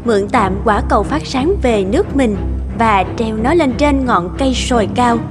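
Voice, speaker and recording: A woman's voice: fairly high pitch, expressive, normal speed, over music. A woman reading scripted fairy-tale narration as the storyteller of a cartoon.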